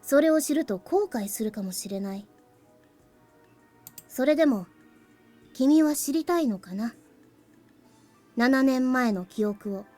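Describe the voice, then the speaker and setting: A young boy from a visual novel, high in pitch. He is aloof and composed, speaking plainly with a faint cheek. Game music plays clearly behind him. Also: lively intonation, normal speed, long pauses, warm tone. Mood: grave, composed. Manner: cool, matter-of-fact, slightly cheeky. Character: calm, aloof young boy, crystallized past memory of the hero. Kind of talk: Japanese visual novel voice acting.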